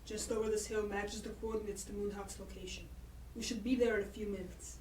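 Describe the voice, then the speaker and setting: A woman's voice: mid-range voice, steady tone, brisk, a little mellow, close to an everyday voice. An actress plays a weary, sardonic female sheriff in an audio drama. She is blunt and hard-pressed. The sound is roomy and set back from the mic, with faint noise. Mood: urgent. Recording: echoey, distant mic with hiss.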